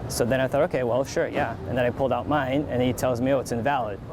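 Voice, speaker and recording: A man's voice: medium pitch, steady tone, fast talker, noisy background. A man giving a casual account as an interviewed passenger.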